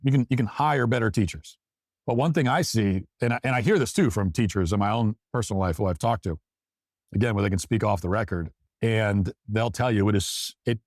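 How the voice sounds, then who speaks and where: Male talk host, lower register, emphatic opinion delivery, very dry recording. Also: darkish tone, no echo. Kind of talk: opinion commentary, reading out listener comments.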